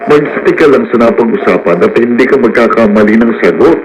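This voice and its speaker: A Filipino actor in a radio drama playing a cool, secretive, controlling schemer who reassures firmly with quiet menace. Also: male voice, medium pitch, lively intonation, a little fast, crowd noise, lightly acted, rough recording.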